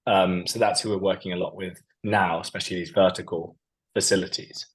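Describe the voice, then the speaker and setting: A man's voice: deep voice, steady tone, fairly quick, low-quality recording. A man giving a loose, conversational business presentation over slides, with many fillers as he talks. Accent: non-Portuguese. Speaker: company presenter.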